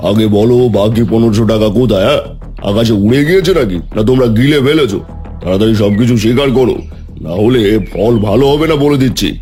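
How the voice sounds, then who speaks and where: A man's voice: medium pitch, fast talker, mellow, faint music behind, natural voice. A man voicing an arrogant young landlord's son in a cartoon, accusing and threatening the villagers. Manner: bullying, accusing.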